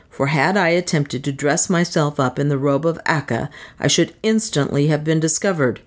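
A proud, protective adventurer in an audiobook tale, voiced by a female narrator. He talks in a low voice, grave and formal, with stern firmness. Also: lightly acted. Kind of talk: dramatised audiobook dialogue.

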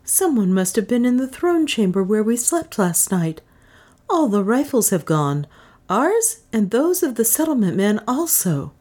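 A woman's voice: a little high, big pitch swings, normal speed, hardly any pauses, mellow, pitched above the performer's own voice. A woman voicing an anxious, apologetic male priest in an audiobook, full of dismay. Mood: alarmed. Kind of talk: audiobook character acting.

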